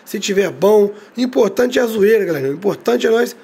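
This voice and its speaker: A man with a Rio de Janeiro accent, hoarse from a cold, giving hyped slangy commentary over a bus game, each phrase ending on a low quiet tag word. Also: lively intonation, brisk. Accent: Brazilian Portuguese, Rio de Janeiro. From Brazil. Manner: slangy, drags out catchphrases, tag words drop low and quiet. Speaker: game streamer.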